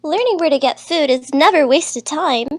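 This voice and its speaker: A woman plays a young rabbit-eared student girl in a visual novel. She is high-voiced, brisk and teasing, with a bossy, matter-of-fact manner. The sound is close and dry. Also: animated, no room sound. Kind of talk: visual novel dub dialogue.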